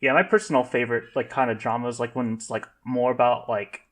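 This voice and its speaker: A man talking casually as a podcast guest, halting and rambling with many filler words. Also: medium pitch, fairly even intonation, a bit bright. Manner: rambling, halting, heavy use of "like". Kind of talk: casual group discussion.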